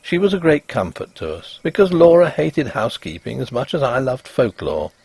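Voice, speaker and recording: A man narrating a literary story in a measured, expressive storytelling manner, as for an audiobook. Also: medium pitch, gravelly, breathy edge, no echo.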